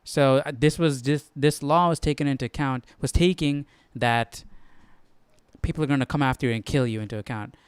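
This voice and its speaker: A man's voice: mid-range voice, fairly quick, clear, resonant tone, no echo. A man hosting a Bible study, explaining casually and tentatively as he thinks aloud, often checking himself. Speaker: host of a Bible study stream.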